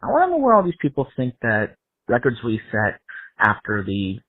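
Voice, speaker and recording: Husky American man on tinny voicemail. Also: medium pitch, huge pitch swings, normal speed, no room sound. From Southern California. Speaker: caller leaving a voicemail. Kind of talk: casual fan opinion. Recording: tinny, muffled phone voicemail.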